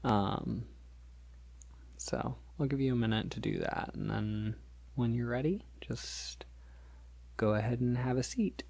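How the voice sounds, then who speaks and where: A polite, slightly awkward male vampire, played by a man in a soft-spoken ASMR roleplay. He talks slowly and hesitantly, reassuring the listener. The voice is breathy and husky, with a crackle of fry. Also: medium pitch, no room sound. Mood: gentle, reassuring. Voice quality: breathy, often dropping into whisper. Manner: hesitant, reassuring, softly chuckling.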